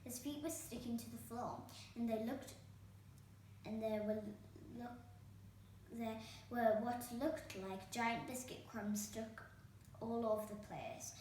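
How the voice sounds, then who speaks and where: A woman narrates a children's storybook as host of a story time. Her voice is on the high side. The recording is very reverberant, with the microphone some way off and faint noise behind. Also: slow pace, a little mellow. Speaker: storytime host reading aloud. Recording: very echoey room, distant microphone, faint noise.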